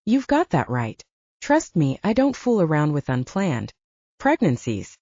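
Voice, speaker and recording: A woman's voice: low voice, huge pitch swings, a little slow, mellow, dry recording, lo-fi sound, exaggerated voice. A woman voicing a candid, playful young woman, the heroine of an audiobook romance. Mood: teasing.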